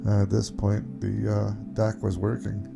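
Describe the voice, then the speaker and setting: A man giving a casual, unscripted software walkthrough, explaining things step by step in an informal way. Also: lowish voice, completely flat delivery, unhurried, creaky, over loud music. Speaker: tutorial presenter.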